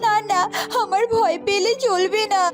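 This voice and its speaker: A woman's voice: very high voice, fairly even intonation, unhurried, over loud music. A woman voicing a small young bird child in a cartoon, plaintive and pleading, tearful yet brave. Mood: determined. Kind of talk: Bengali children's cartoon voice acting.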